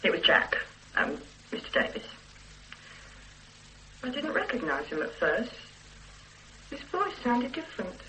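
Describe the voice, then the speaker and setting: A defensive, indignant housewife in an old radio play. Her bright voice swings widely in pitch. She sounds protective and put out. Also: very animated, measured pace, pauses often, a little hiss or hum, full character voice, rough recording. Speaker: witness being questioned. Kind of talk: radio drama acting.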